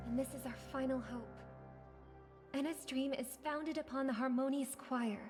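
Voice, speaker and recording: High-voiced, earnest young heroine. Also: female voice. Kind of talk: video game character acting.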